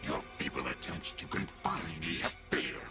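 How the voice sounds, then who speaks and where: A man's voice: rumbling low, expressive, normal speed. A man voicing a boastful, menacing possessing spirit in a video game, with grandiose theatrical villain delivery. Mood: menacing, triumphant. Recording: loud music behind the voice.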